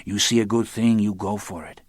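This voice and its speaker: A man voicing a sly, smooth-talking man who needles patiently in a soft, unhurried way. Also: lowish voice, dry recording, clean studio sound. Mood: smug.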